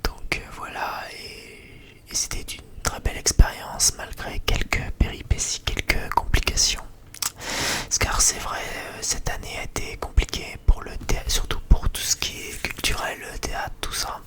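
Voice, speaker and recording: A man gives a whispered ASMR chat in a halting, rambling and hesitant way. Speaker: ASMR video creator.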